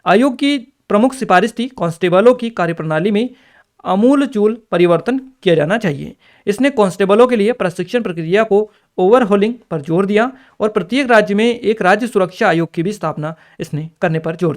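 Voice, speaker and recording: Casting: male exam-prep teacher, high-pitched voice, instructive delivery that alternates reading and explaining. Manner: instructive, repeats points and addresses students directly. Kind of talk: explanatory exam review lecture, partly read out.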